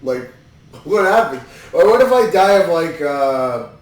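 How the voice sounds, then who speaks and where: A man's voice: on the high side, very animated, unhurried. A man rambles through casual, incredulous movie commentary on his podcast. His voice comes from some distance off the mic. It rings in a very echoey room. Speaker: podcast host reviewing a movie.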